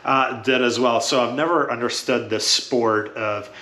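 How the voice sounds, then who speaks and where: A man's voice: mid-range voice, steady tone, unhurried, pushed and bright, echoey room. A man whose first language is Croatian, talking casually and matter-of-factly to camera as a real estate agent, with frequent "uh" fillers.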